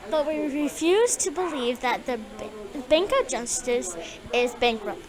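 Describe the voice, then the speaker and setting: Casting: high female student voice reading formally, with a crowd behind. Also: a little slow. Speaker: student reader. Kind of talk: reading a speech aloud.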